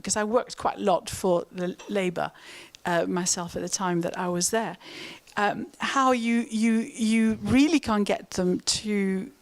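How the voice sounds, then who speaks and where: Greek-accented woman panelist. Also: medium pitch. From Greece. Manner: many fillers, earnest and outspoken. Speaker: panelist, economist. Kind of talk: conference panel remarks.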